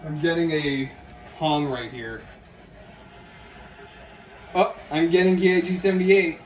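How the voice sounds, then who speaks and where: A man's voice: on the high side, steady tone, unhurried. A man hosting a casual unboxing video from his room, jokey and chatting to viewers and friends. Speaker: host of a radio unboxing video. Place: a room at home.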